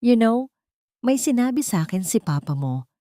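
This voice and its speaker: A woman's voice: a little high, sing-song, moderate pace, mellow, no room sound, studio quality, voice pushed up. A woman voicing a cruel, sweet-faced rich woman who taunts and threatens children in an audio drama. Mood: mock-sweet menace. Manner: menacing, taunting.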